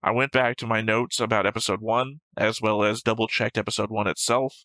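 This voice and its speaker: A man's voice: fairly low pitch, steady tone, a little fast, fairly bright tone, no echo. A man co-hosting a reaction show, chatting casually about a series in a flippant, argumentative way. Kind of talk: casual discussion of an anime series.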